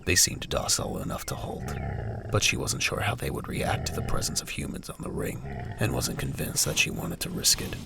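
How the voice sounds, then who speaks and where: A man narrates a scripted audio drama in an extremely deep voice. It is thick with gravelly creak, and the pitch moves expressively through each sentence. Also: wildly swooping pitch, fairly quick, very noisy.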